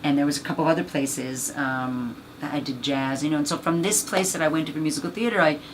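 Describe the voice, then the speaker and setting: A woman with a husky, low voice, chatting as an interview guest about her acting career, rambling and filling gaps with "you know.". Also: fast talker, slight background noise, rough recording. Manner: rambling, many fillers. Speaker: actress and voice actor guest. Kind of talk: casual interview chat.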